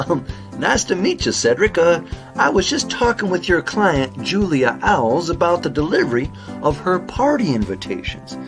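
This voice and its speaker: A man plays an earnest raccoon sheriff for a children's audio mystery. The voice is high and swings lively in pitch, folksy and inquisitive, with music behind it. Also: normal speed. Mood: curious, businesslike. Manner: animated, folksy, wordplay-loving. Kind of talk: children's audio drama acting.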